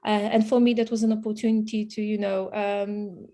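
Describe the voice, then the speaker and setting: A woman's voice: medium pitch, level tone, normal speed, dark tone. A woman from Poland, a native Polish speaker with a Polish accent, telling her story casually as an interview guest. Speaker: podcast guest, startup investor.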